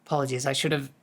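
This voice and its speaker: A streamer with a voice that is going hoarse, chatting casually to viewers in a distracted, rambling way. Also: mid-range voice. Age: adult, working full-time. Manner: easily distracted, rambling with many restarts. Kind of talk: casual live-stream chat.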